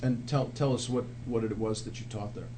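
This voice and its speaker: A man's voice: mid-range voice, fairly even intonation, brisk, dark tone. A man hosting a radio show, interviewing guests in a conversational style. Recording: background noise and hum.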